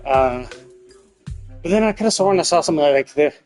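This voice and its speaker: A man's voice: a little high, expressive, a little fast, a little mellow, soft background music. A man giving casual live game stream commentary, musing aloud in a rambling way and rephrasing as he goes.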